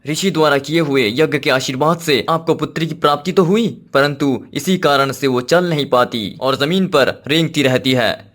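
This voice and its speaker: A man voicing a solemn, measured holy sage. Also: a little high, fairly bright tone, lightly acted. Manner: formal, measured, Sanskritized diction. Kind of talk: cartoon moral-story acting.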